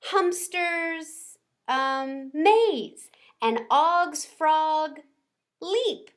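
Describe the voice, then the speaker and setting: A woman voicing a hesitant schoolgirl from a storybook, halting and unsure, practising an announcement. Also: high voice, a bit bright, pitched above the performer's own voice, heavily acted.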